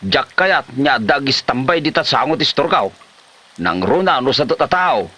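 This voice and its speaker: A man's voice: mid-range voice, lively intonation, measured pace, sharp tone. A man playing an exasperated older village father figure who scolds and exclaims in a comedy drama. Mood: scolding.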